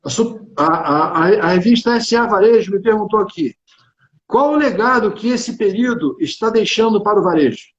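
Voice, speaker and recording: A man with a high voice and a Carioca accent talks business on a livestream. He is emphatic, colloquial and opinionated, doubling back on phrases. The sound is echoey and dull. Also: expressive, moderate pace. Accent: Carioca Brazilian Portuguese. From Rio de Janeiro, Brazil. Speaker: tax consultant and executive speaking on a livestream. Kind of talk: informal live business discussion. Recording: echoey room, dull band-limited livestream audio.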